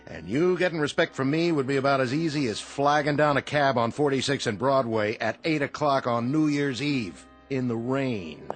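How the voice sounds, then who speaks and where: An actor playing a wry, fed-up bartender with a dry, sardonic manner in a radio drama. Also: male voice, medium pitch, expressive, moderate pace, few pauses, soft background music, natural voice. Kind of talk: old-time radio drama acting.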